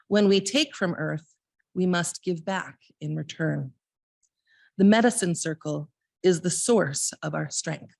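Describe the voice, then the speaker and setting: Woman with creaky voice leading worship. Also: on the low side, no room sound. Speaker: lay worship leader giving readings at a church service. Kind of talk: informal service leading and read-aloud readings.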